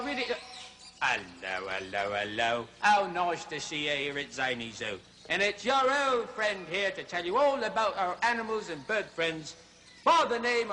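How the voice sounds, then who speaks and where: Man doing a high, excitable zoo host. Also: slight background noise. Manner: excitable, chattering, fussy showman. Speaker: comedy zoo show host. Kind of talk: comic zoo host patter.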